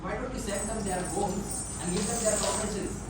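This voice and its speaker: A man's voice: high-pitched, fairly even intonation, moderate pace, close to an everyday voice. A man with an Indian accent acting a defiant, idealistic adult son and dancer in a stage play, theatrical and argumentative. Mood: accusing. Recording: echoey stage recording, distant mic, faint noise.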